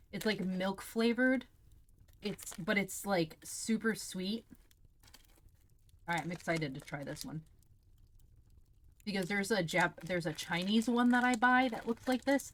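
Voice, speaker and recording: Candid, sweary woman streamer with a lowish voice. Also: slight background noise. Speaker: livestream host. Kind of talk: casual chat while taste-testing snacks.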